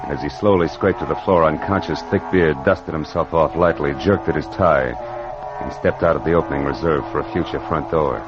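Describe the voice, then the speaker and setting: Casting: a wry American detective narrator, deep and punchy, muffled old-time radio drama sound. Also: male voice, brisk. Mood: tense, dry. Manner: sardonic, punchy. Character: wry hard-boiled private detective narrator. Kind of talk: 1940s radio noir narration. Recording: muffled vintage radio, music behind.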